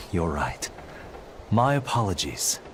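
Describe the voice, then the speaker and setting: A man voicing a composed, proud crown prince with formal, aristocratic diction in a video game, under loud music. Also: deep voice, sing-song, drawn-out words, noisy background, heavily acted. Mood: amused, gracious.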